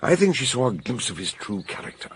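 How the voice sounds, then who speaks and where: An actor playing a jovial, commanding older detective in a British radio mystery, with a British accent, a gruff voice and a theatrical, expansive way of explaining. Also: male voice, medium pitch, very animated, fast talker, a little hiss or hum, lightly acted. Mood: shrewd, explaining. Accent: British English.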